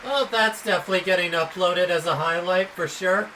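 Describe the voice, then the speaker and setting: A man's voice: high voice, fairly quick, bright, forceful tone, slight background noise, poor audio. A man hosting a game reaction video, giving casual, emotional commentary. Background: game music.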